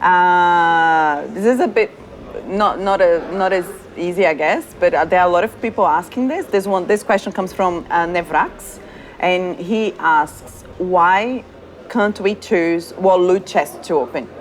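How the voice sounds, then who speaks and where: A woman's voice: fairly low pitch, measured pace, slight background noise. A woman with a Brazilian accent, a product manager cheerfully reading viewer questions to a colleague in a casual chat, laughing often. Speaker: product manager hosting a community Q&A.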